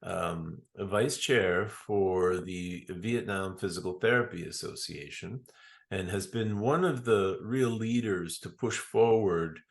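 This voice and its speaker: A man hosting an interview, speaking in a halting way with many fillers and restarts. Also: sits low, creaky. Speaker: interview host. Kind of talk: casual interview.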